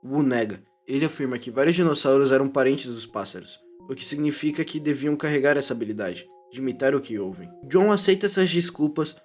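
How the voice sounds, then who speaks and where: A man with a Brazilian accent narrating a scripted video essay in an informal, irreverent way. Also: mid-range voice. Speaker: YouTuber narrator and commentator. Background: prominent background music.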